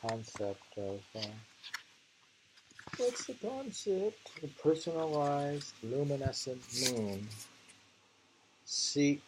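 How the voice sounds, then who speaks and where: A man reading poetry aloud and commenting casually, in a halting, musing way that circles back over phrases. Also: medium pitch, background noise.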